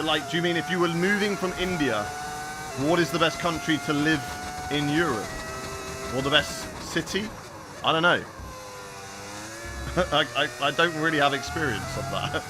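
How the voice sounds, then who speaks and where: Lively chatty man streaming a game. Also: fairly high pitch, normal speed, pauses often, noisy background. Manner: chatty, reads and answers viewer chat while racing. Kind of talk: casual live-stream chat while playing.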